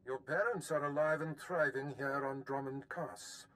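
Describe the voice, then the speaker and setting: An actor playing a powerful, smugly commanding older Sith master in a video game, speaking formally and with measured pomp. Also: male voice, mid-range voice, fairly even intonation, fairly bright tone, natural voice. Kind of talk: scripted game dialogue.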